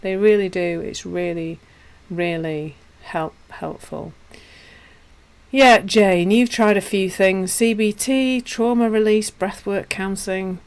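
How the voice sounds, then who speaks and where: A woman with a Yorkshire accent teaching and chatting casually with viewers on a live stream, self-deprecating and warm in her asides. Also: medium pitch, slow pace, clean tone. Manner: chatty and self-deprecating, with frequent restarts and laughs. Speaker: meditation teacher.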